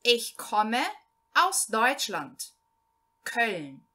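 A woman's voice: fairly high pitch, very animated, sharp tone, exaggerated voice. A woman reading as a man introducing himself. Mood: plain. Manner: slow, clearly repeated reading for learners. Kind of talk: read-aloud language lesson.

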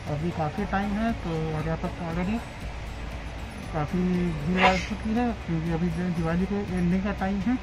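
A man's voice: high-pitched, normal speed, loud music behind the voice, poor audio. A young man, a travel vlogger, chatting casually to his phone camera while lying on a berth inside a train compartment. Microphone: phone camera at arm's length.

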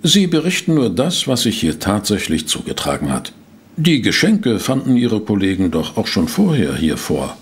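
An actor playing an eager, shrewd male investigator in a German audio drama, with a gravelly, husky voice and expressive delivery. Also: lowish voice, normal speed, heavily acted. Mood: reassuring.

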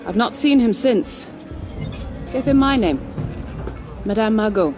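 An anxious, sharp-tongued townswoman in a game cutscene. Her delivery is urgent and guarded, with lively swings in pitch. Music plays clearly behind her. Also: unhurried. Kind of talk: dramatic video game cutscene acting.

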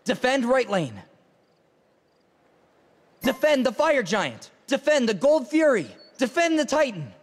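High-pitched cocky young graffiti fighter. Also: male voice, big pitch swings, slow pace, pauses often, in a large hall, exaggerated voice. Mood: brisk, confident. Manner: brash, teasing, slangy. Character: cocky, playful young male graffiti-artist fighter in a game. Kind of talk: video game character voice lines, short callouts.

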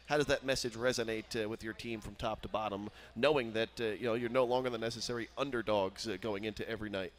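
A middle-aged man, a sports broadcaster, asking postgame questions on a headset mic at courtside, measured and full of uhs. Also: lowish voice, fairly even intonation. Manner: measured, filler-heavy questions with many uhs. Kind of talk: postgame interview. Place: courtside in an indoor arena.

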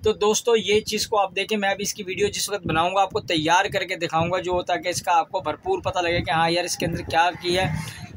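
A man's voice: sits high, a bit bright, rough recording. A Pakistani-accented man giving casual instructional talk to viewers as a channel host, repeating phrases for emphasis. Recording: clear background noise.

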